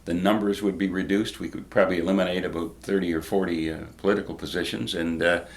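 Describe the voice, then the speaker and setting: An older man with a low voice gives considered answers as an interview guest. He phrases things carefully and hesitantly, pausing often as he works through his point. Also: fairly even intonation. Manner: hesitant, measured phrasing with frequent pauses. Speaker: interview guest, former regional politician.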